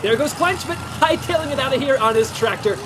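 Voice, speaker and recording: A man's voice: extremely high pitch, animated, fairly quick. An actor playing an eager, hapless male secret agent in a comedic audio drama. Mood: earnest, wry. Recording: faint music behind.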